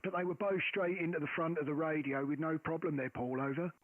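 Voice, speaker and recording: A man with a loud, carrying voice rambles happily about his radio settings, full of ums and restarts. His voice sits a little high and very dry, pressed close to the mic. It all comes through a narrow, phone-like radio band. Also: steady tone, quick pace, dry recording. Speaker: amateur radio operator. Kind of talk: casual radio chat. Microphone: boom mic close to the face.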